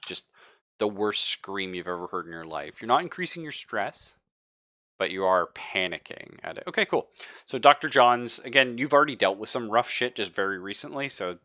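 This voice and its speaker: Low, bright-voiced casual game master guy. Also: no room sound, clean studio sound. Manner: casual, slangy and profane. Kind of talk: narrating a live tabletop roleplaying game.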